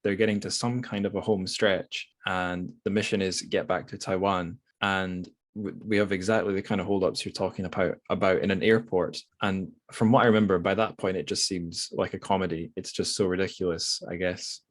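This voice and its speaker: A man's voice: low-pitched, steady tone, normal speed, hardly any pauses. A man hosting a casual book discussion, hesitant and often rambling as he corrects himself. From Scotland. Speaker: podcast host.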